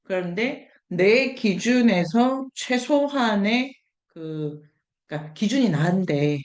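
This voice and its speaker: A woman's voice: mid-range voice, darkish tone. A woman leading a seminar discussion, thinking aloud as she explains and puts questions directly to participants. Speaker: discussion leader.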